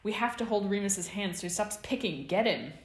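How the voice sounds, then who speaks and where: A woman's voice: lowish voice, lively intonation, a little slow, echoey room. A woman voicing a bossy, teasing schoolboy who scolds his friend with mock-stern insistence in an audiobook-style story. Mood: gleeful, insistent.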